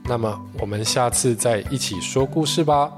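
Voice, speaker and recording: A woman's voice: a bass voice, moderate pace, music in the background. A woman with a Taiwanese Mandarin accent hosting a children's podcast, speaking in simple, friendly phrases aimed at young children. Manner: gentle questions in simple, child-directed phrasing. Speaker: children's story podcast host.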